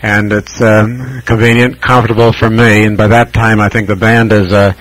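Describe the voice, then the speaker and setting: An American man chatting casually as a ham radio operator over shortwave, measured and hesitant, pausing often as he describes things. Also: on the low side, flat delivery, a bit bright, no room sound, low-quality recording.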